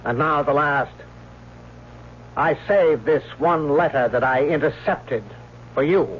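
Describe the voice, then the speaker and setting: A cruel husband set on revenge, theatrical and menacing. He speaks slowly in a slightly high voice, in old radio drama style. The recording is dull, with faint noise. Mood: gloating, menacing. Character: cruel, vengeful, humiliated husband gloating over his rival. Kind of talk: old-time radio mystery drama acting. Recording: muffled, faintly noisy vintage radio recording.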